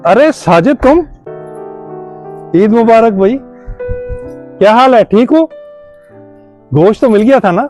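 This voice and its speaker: A man acts out a principled, strict father in a moral-story drama. His voice is high and lively, with a soft, mellow tone. He speaks emphatically, like a parent used to scolding and lecturing. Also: long pauses, soft background music. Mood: cheerful greeting. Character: strict but principled father, middle-aged, quick to scold. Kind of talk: Urdu moral-story audio drama acting.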